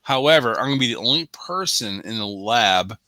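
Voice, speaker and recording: Man, sports panelist, very bright forceful voice, casual football talk, repeats for emphasis, dry sound. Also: mid-range voice, expressive, fairly quick, no echo.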